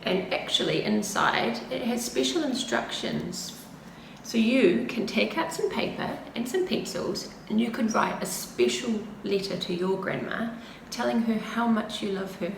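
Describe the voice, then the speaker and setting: A woman's voice: mid-range voice, big pitch swings, a little slow, creaky. A middle-aged woman narrating a picture book expressively for preschool children, recorded indoors a short distance from the camera. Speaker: preschool story-time reader. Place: indoors, small plain room. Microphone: camera a short distance away. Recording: roomy, faint background noise.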